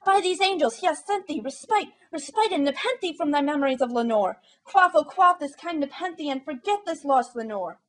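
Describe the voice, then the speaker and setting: A woman's voice: high-pitched, expressive, moderate pace, few pauses, voice pushed up. A woman playing an anguished, haunted, grieving scholar in a dramatic gothic verse reading, impassioned and declamatory. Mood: anguished outburst.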